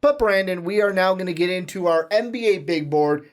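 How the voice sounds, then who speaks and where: A man co-hosts a sports podcast with energy, reading out rankings and plugging the show. His voice sits a bit high for a man. He talks in a loose, casual way. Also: a little fast. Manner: energetic, plugs and runs the segment. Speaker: podcast co-host. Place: podcast studio.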